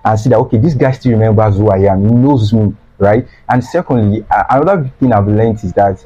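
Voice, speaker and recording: A man's voice: fairly low pitch, brisk, warm tone, soft background music. A young man with a Nigerian accent, a student guest talking earnestly and repeating key phrases for emphasis. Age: young adult. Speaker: guest, student sweet seller. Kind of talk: casual interview talk. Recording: slightly dull lo-fi sound.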